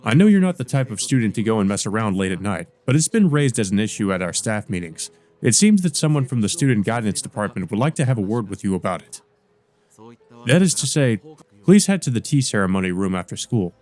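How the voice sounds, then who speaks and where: A man's voice: on the low side, big pitch swings, quick pace, darkish tone. A man voicing a mild, courteous physics teacher with formal concern in a visual novel. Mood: mildly concerned. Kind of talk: read-aloud visual novel dialogue.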